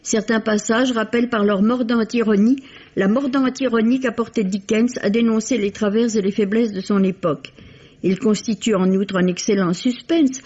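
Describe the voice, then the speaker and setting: A woman's voice: a little high, darkish tone, husky, lo-fi sound. A woman reads a scripted book review for radio in articulate, literary phrasing, on a vintage recording.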